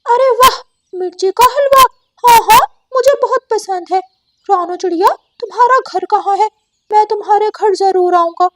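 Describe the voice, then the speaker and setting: A woman voicing a chatty, excitable male parrot in a cartoon, exclamatory and nosy. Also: very high voice, moderate pace, no room sound, clean studio sound, pitched above the performer's own voice. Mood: delighted.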